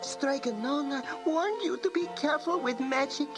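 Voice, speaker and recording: A woman doing a high, sensible storybook helper. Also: normal speed, music in the background, natural voice. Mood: earnest. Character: clever, sensible young female helper. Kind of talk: storybook read-aloud.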